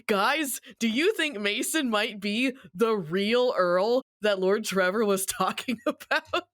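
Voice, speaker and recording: A woman co-hosts a podcast in casual chat, loose and profane, quick to laugh between points. Her voice is mid-pitched and sits dry and close on the microphone with no room sound. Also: a bit bright, no room sound. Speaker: podcast co-host. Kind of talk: casual chat recapping a game story.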